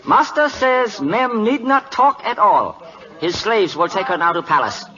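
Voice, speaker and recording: An actor playing a servile Siamese interpreter in an old radio drama, speaking clipped broken English. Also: male voice, sits high, lively intonation, a little slow, bright, forceful tone, heavily acted. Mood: officious. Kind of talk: 1940s radio acting. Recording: muffled old radio recording, long reverb.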